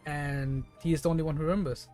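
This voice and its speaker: A streamer commentates casually on a game as they play. The voice sits at a middling pitch and is chatty, with playful exasperation. Music plays behind the talk. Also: fairly even intonation, fast.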